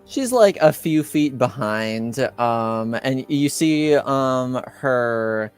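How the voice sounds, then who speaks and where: A male game master narrates a tabletop roleplaying game slowly, feeling out each phrase with hesitation. His voice is clean and smooth, with faint music underneath. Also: mid-range voice, lively intonation. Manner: halting, hesitant, piecemeal phrasing.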